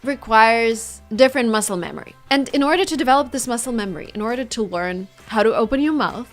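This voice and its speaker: A woman with a Russian accent leads an online English lesson, with a voice that sits a little high. She acts out example phrases with big swings in intonation. Light background music plays under her voice. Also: fast talker. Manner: lively, demonstrating phrases with exaggerated intonation. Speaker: English teacher.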